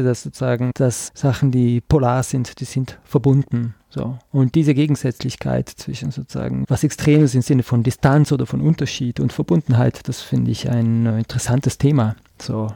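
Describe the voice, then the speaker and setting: A man's voice: medium pitch, gravelly, dark tone, dry recording, studio quality. A man from South Tyrol with a South Tyrolean German accent, an artist talking reflectively as a podcast guest, searching for words with many fillers. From South Tyrol, Italy. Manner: searching, full of fillers and restarts, thoughtful. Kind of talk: reflective conversational interview.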